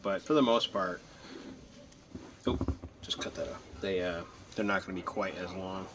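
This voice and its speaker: A man's voice: deep voice, moderate pace, noisy background. A man giving casual, chatty gameplay commentary, drifting into rambling asides. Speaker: video game player commentator.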